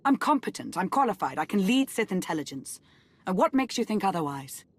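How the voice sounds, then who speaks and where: An actress playing a composed, dutiful Sith intelligence chief in a video game, proud yet self-reproachful, speaking formally and earnestly. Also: female voice, medium pitch, very animated, a little fast, slightly rough. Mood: indignant, defensive. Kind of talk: dramatic video game cutscene acting.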